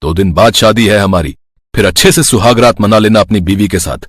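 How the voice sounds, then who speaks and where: Man playing a brash, husky young bridegroom. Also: fairly low pitch, fairly quick, no room sound, studio quality, lightly acted. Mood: mocking, scolding.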